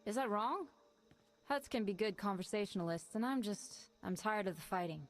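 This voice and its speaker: Woman playing a worn-out smuggler pilot lady, brisk and businesslike, mid-pitched. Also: lively intonation, close to an everyday voice. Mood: scheming. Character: weary female ex-smuggler pilot.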